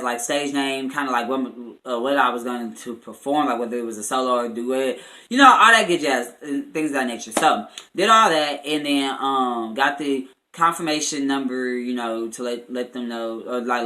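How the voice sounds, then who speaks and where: A man in his twenties with an American accent, telling a story to his viewers in a casual, chatty, animated and slangy way, as a vlogger. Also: mid-range voice. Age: 20s. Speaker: YouTube vlogger.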